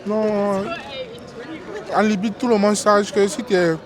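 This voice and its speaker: A man from Togo, interviewed outdoors, tells his story haltingly with many restarts and keeps asking "tu vois, non?". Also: sits high, flat delivery, a faint crowd. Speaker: interview guest, migrant worker. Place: outdoors on a busy street.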